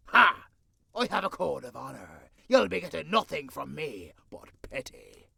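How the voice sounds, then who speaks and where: A blustering gentleman pirate for an audio drama, with a husky, gravelly voice that swoops theatrically between low menace and bold proclamation. He swaggers through archaic seafaring talk. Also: sing-song, unhurried, full character voice. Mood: mocking. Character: blustering English gentleman pirate with hooks for hands. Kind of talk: cinematic audio roleplay acting.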